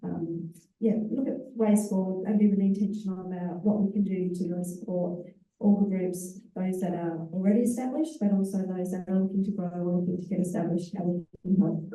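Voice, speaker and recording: A woman, an executive officer, giving a relaxed conference presentation with fillers and self-corrections. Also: lowish voice, brisk. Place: large conference hall. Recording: echoey hall, voice far from the mic.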